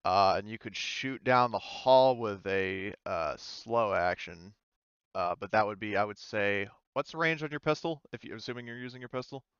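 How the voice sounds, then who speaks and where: A man's voice: lowish voice, moderate pace, a bit bright, clear, resonant tone, no echo, lo-fi sound. A man acting as game master of a tabletop roleplaying game, casually thinking aloud as he talks through rules and turns.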